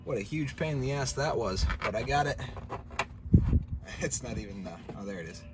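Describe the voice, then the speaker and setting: A man's voice: medium pitch, animated, normal speed. Man thinking aloud over vehicle noise. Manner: informal, thinking aloud while working. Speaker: DIY truck vlogger. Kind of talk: casual hands-on tutorial talk. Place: in or around a pickup truck. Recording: clearly noisy, vehicle ambience.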